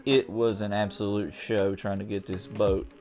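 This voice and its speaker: A man's voice: lowish voice, level tone, moderate pace, a little mellow. A man in his forties, narrating a casual boat vlog and talking to the camera from his sailboat. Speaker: vlogger. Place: sailboat outdoors on the water. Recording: background music under the voice.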